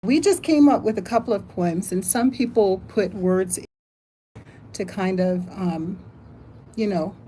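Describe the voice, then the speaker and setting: An older woman hosts a casual live stream, reading viewers' comments aloud and thinking out loud as she goes. Her voice is mellow and warm and sits at a middle pitch. Faint background noise hums under the home-mic sound. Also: a little slow, poor audio. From United States. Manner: chatty, reads chat aloud, thinks out loud. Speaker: livestream host.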